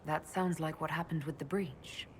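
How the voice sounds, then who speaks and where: An actress playing a composed, inquisitive leader of an order in a video game, earnest and level-headed. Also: female voice, low-pitched, steady tone, normal speed, a little hiss or hum, natural voice. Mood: composed, curious.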